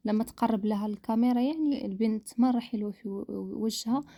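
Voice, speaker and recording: A woman's voice: on the high side, fast, warm tone, studio quality. A woman from the Maghreb co-hosting a casual chat, often agreeing and echoing her partner. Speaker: co-host.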